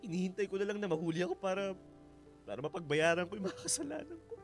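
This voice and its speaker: An actor playing a guilt-ridden fugitive father in a Filipino radio drama, halting and emotional. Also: high voice, very animated, soft background music. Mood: distraught. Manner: halting, repeats phrases, emotional.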